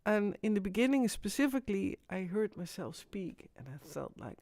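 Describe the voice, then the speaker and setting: A woman's voice: mid-range voice, sing-song, creaky, darkish tone, no room sound. Dutch woman rambling on a live stream. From Netherlands. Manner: rambling, halting, with frequent restarts. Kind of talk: casual live stream monologue.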